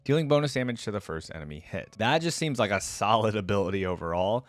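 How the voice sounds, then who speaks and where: A man's voice: fairly low pitch, expressive, fast, dry recording. A man giving casual reaction commentary as a host, sometimes reading text aloud.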